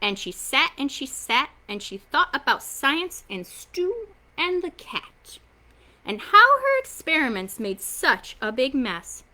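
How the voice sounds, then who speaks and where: A woman reading a children's picture book aloud as a storytime host, with expressive, dramatic delivery and playful emphasis. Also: high-pitched, takes it slowly.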